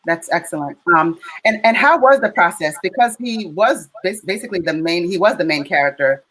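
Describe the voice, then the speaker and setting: A woman's voice: fairly low pitch, animated, fairly quick, a bit bright, a little hiss or hum, low-quality recording. An American woman from Chicago co-hosting a casual film Q&A in a chatty, playful way. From Chicago, United States. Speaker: co-host interviewer.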